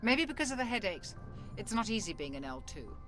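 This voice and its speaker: A woman's voice: mid-range voice, sing-song, brisk, natural voice. A woman as military doctor, female, composed, knowledgeable, matter-of-fact, game dialogue over music. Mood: informative, candid. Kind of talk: video game voice acting.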